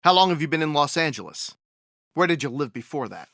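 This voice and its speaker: A hard-nosed police sergeant in a 1950s-style radio detective drama. His voice is sharp and forceful, recorded dry, and he presses his points in a matter-of-fact, sardonic way. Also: fairly high pitch, big pitch swings, a little fast, creaky, no room sound, exaggerated voice. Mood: stern, needling. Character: tough no-nonsense male police sergeant.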